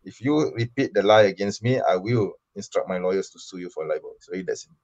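A man from Malaysia with a very low voice argues his position in an online debate. He talks insistently, full of fillers and restarts. The audio is dull and lo-fi. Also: fast talker, darkish tone. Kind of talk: online debate answering questions.

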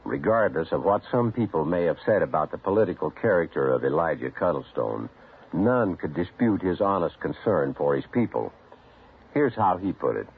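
A man giving measured, scripted narration that explains word origins. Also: low voice, very animated, slightly rough. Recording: tinny phone-like old recording.

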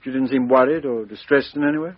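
An actor playing a brisk, commanding police inspector in a 1950s radio drama. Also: male voice, mid-range voice, no echo, close to an everyday voice. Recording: dry, dull lo-fi old radio recording.

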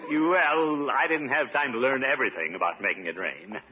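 An actor playing a flustered, blustering middle-aged commissioner in a 1950s radio sitcom, stammering and bluffing. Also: male voice, medium pitch, brisk, lightly acted. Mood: bashful, hopeful. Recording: noisy narrow-band 1950s radio recording.

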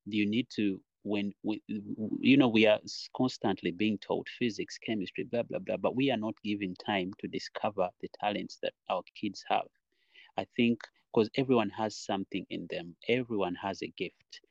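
A man's voice: on the low side, normal speed, creaky, no room sound, dull audio. A young man from Uganda, a dancer, talking at length in a casual podcast interview, reflective and rambling, often saying "you know". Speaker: podcast guest, dancer and choreographer.

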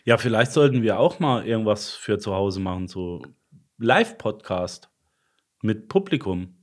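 A man's voice: fairly low pitch, expressive, measured pace. A man co-hosting a podcast in casual, joking banter with his friends. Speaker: podcast co-host.

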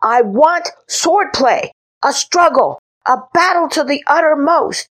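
A woman voicing a pompous six-year-old boy knight who makes grand, loud declarations. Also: sits high, animated, slow, pitched above the performer's own voice, full character voice. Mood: boastful.

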